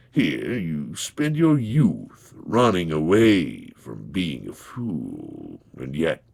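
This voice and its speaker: A man voicing a grim, patient old reaper from a storybook, gravelly and raspy, with a slow, folksy, wry delivery. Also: a bass voice, darkish tone, pitched below the performer's own voice, heavily acted. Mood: wry, chiding. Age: older. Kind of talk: dramatized storybook reading.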